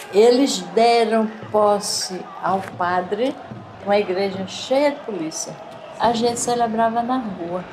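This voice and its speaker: An older woman with a Northeastern Brazilian accent from Recife, telling her story conversationally as a personal testimony. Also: mid-range voice. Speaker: community educator and resident giving testimony. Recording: noisy interview with background ambience. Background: clear background noise and ambience.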